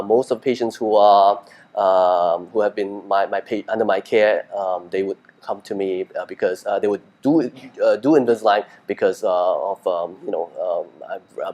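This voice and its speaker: Halting, low-voiced man from Singapore. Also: fairly even intonation, a little mellow. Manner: halting, many fillers and restarts. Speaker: dentist. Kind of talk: interview answers.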